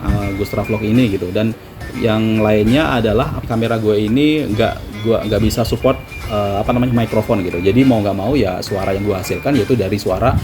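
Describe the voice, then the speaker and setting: A man with a Javanese accent chats rapidly to the camera in a slangy, self-deprecating way. His voice sits low, and clear background music plays under his talk. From Java. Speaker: travel vlogger.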